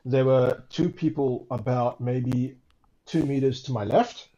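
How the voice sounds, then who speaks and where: A man's voice: medium pitch, measured pace, dark tone, low-quality recording. A man casually telling his own story as a guest, repeating words and adding tag questions for emphasis.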